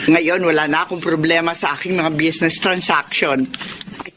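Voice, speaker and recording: A woman's voice: deep voice, fairly even intonation, normal speed, a little hiss or hum, lightly acted. An actress playing a grateful, chatty, generous businesswoman in a radio drama, effusive and motherly in manner. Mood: grateful, insistent.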